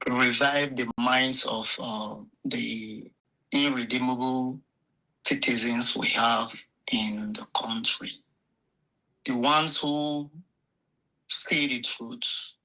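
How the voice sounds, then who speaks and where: A man's voice: mid-range voice, bright, forceful tone, husky, low-quality recording. A man from the audience asking a question hesitantly, with many fillers.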